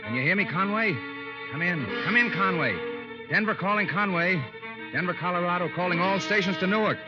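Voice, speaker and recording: An actor playing an urgent airfield radio operator in an old radio drama, calling in clipped, insistent phrases. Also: male voice, high voice, fairly quick, lightly acted. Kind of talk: 1930s-40s radio drama acting. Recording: muffled vintage radio, loud music behind.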